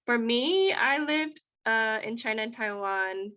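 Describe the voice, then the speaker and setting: A woman's voice: on the high side, lively intonation, measured pace, bright, forceful tone. A woman from the United States speaking on a panel, earnest and reflective, with frequent fillers as she thinks aloud. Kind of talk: panel discussion.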